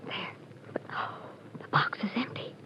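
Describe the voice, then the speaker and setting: A woman playing an anxious, worried young woman in an old radio drama, with a breathy voice. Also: normal speed, whispered, lightly acted. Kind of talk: 1940s radio acting. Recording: dull lo-fi old radio recording.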